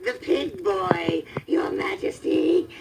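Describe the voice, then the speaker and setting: Little villain's minion, high voice, servile, gloating, creepy, music behind. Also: male voice, sing-song, slow pace, creaky, exaggerated voice, poor audio. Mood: fawning. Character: creepy, fawning little male henchman creature. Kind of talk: storybook record villain acting.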